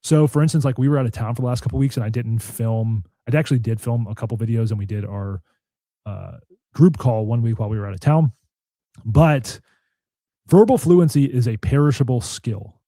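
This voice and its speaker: Young guy with a deep mellow voice, rambles a lot with "like", dry close sound. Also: a little fast, dry recording. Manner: rambling, filler-heavy, frequent "like". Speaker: podcast host. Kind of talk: casual chat.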